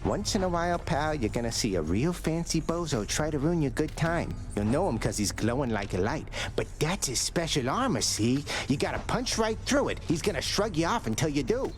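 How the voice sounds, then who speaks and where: A man's voice: a little high, big pitch swings, normal speed, hardly any pauses, soft background music. An actor playing a streetwise, chummy tough guy in a video game, slangy and colourful. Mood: chummy, cocky.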